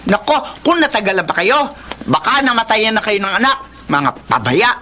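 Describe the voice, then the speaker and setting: A woman's voice: mid-range voice, sing-song, moderate pace, sharp tone, a little hiss or hum. A woman playing a scolding, nagging grandmother and mother-in-law in a Filipino radio drama. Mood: scornful. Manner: nagging, disparaging, commanding.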